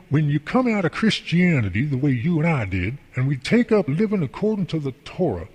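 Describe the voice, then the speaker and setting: An actor playing a folksy, devout boss and mentor in an amateur radio drama, explaining things earnestly and at length. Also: male voice, fairly high pitch, husky. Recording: long hall reverb.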